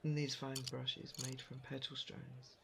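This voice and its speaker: A man's voice: medium pitch, little pitch movement, unhurried, gravelly, background noise. A man playing a curious, helpful storekeeper from another world, easygoing and explanatory in an audio roleplay. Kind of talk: roleplay audio drama addressed to the listener.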